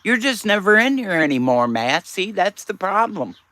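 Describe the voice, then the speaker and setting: Man streamer, raspy, bright lively voice, teasing banter, dry close sound. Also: high voice, moderate pace, dry recording. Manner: teasing, chatty, reads out and answers viewers. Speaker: live streamer. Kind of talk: casual live-stream chat with viewers.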